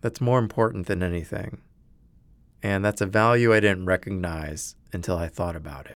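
A man hosting and narrating, asking earnest, probing questions in a measured, reflective way. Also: sits low, dry recording, studio quality. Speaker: host and narrator. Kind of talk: reflective documentary narration and interviewing. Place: lives in a city neighborhood.

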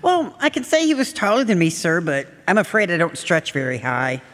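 A woman's voice: lowish voice, big pitch swings, fairly quick. A woman playing a small, earnest, deferential servant in a live radio drama. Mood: earnest, unsure. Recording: long hall reverb, music behind.

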